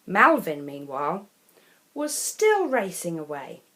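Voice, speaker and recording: A woman's voice: fairly low pitch, huge pitch swings, slow pace, bright, forceful tone. A woman tells a children's story expressively, with theatrical delivery and chatty asides to the listener. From England. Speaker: storyteller reading a children's picture book.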